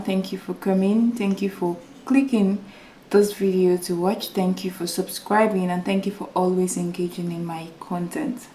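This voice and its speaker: A woman YouTuber giving an earnest motivational talk to her viewers, repeating her points and calling them guys, with a small, soft voice on a new microphone. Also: fairly low pitch, echoey room, a little hiss or hum. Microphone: new microphone without full setup.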